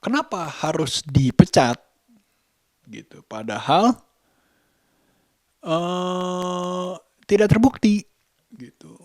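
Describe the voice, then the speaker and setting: A man giving his opinions in a casual vlog, speaking deliberately and often searching for words. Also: high-pitched, animated, a little mellow, no room sound. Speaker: vlogger, host.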